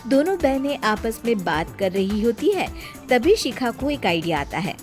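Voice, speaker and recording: A woman's voice: fairly high pitch, big pitch swings, normal speed, soft background music. A woman reading scripted story narration as the narrator of a family tale.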